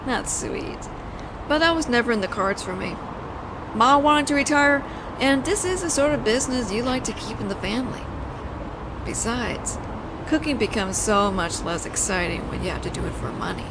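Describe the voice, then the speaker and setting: A woman's voice: a little high, lively intonation, moderate pace, lightly acted. A woman playing a flirtatious, teasing mafia boss in an audio roleplay, talking in a playful, conversational storytelling way. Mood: fond, wry. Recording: noisy outdoor city ambience, traffic.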